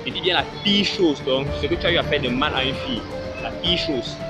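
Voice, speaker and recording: A man's voice: a little high, a little fast. A man with a Cameroonian French accent running a street interview, pressing and repeating his question. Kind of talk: vox pop street interview. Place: roadside in a busy city street. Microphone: handheld phone held out to speakers. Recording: loud background music and traffic.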